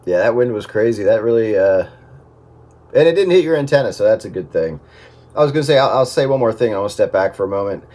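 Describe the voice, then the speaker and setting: A man's voice: lowish voice, animated, fast, darkish tone. A man chatting casually as a radio operator over a two-way radio with some noise. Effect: two-way radio transmission. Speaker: amateur radio operator in a group check-in. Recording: noisy two-way radio audio.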